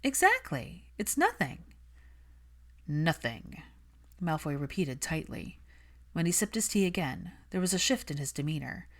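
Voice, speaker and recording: A woman's voice: low-pitched, a little fast. A woman reading scripted fiction as an audiobook narrator.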